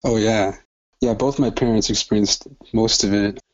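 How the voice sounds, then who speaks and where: A man's voice: fairly low pitch, steady tone, moderate pace, highs cut off. A man in his twenties, born in El Salvador and raised in Los Angeles, speaking as a guest in a thoughtful, reflective way with frequent restarts. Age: 20s. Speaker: guest, doctoral student. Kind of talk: conversational interview.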